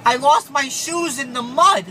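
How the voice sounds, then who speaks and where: Man putting on a high, whiny simpleton. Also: unhurried, background noise. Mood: silly pleading.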